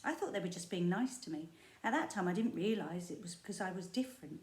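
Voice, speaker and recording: A woman primary school teacher reading a children's book aloud, expressive and storytelling, with the odd slip. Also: on the low side, quick pace. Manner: expressive storytelling with small slips and asides. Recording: echoey room.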